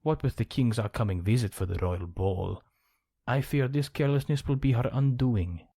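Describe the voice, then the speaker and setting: A man's voice: medium pitch, normal speed, vocal fry, warm tone, slightly rough, dry recording. A man playing a suave, gossipy, scheming count in an ASMR roleplay, speaking in a genteel, theatrical manner. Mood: musing, conspiratorial. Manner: genteel, theatrical, arch phrasing.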